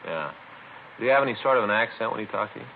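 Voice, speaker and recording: An old, tinny 1950s radio crime drama with light noise. a plainspoken detective sidekick in a low voice, casual, inquisitive and matter-of-fact. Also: male voice, lively intonation, rapid-fire, gravelly. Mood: businesslike. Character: plainspoken, inquisitive male police detective partner. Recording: lo-fi noisy 1950s radio recording.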